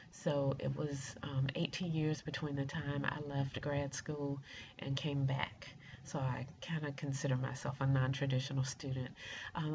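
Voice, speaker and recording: A middle-aged woman with a raspy, congested voice from a cold, introducing herself informally and haltingly. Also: deep voice. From rural Georgia, United States. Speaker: doctoral student introducing herself. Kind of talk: informal self-introduction to an online class. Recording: muffled lo-fi video, faint noise.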